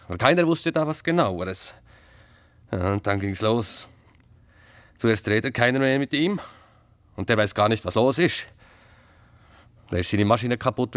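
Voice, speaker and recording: A man's voice: on the low side, fairly bright tone, dry recording, natural voice. A man acting in a radio drama as a secretive, brooding working man who talks tersely and insistently in colloquial words.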